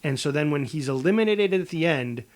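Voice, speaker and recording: A man co-hosting a podcast, talking casually in a halting, rambling way as he improvises a pitch. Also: lively intonation. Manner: halting, rambling delivery with false starts. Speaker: podcast co-host.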